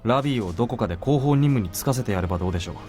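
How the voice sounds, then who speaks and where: A man's voice: mid-range voice, expressive, rapid-fire, a little mellow, close to an everyday voice. An actor playing an earnest male soldier in a Japanese visual novel, politely challenging his superior. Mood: frank, reproving. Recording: game music under the voice.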